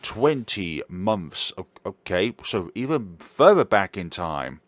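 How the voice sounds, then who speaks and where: A man's voice: deep voice, big pitch swings, takes it slowly, no room sound. A man streaming a game with casual, wry reactions and reading along, game music behind him. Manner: wry, chatty asides. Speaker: game streamer, let's-player.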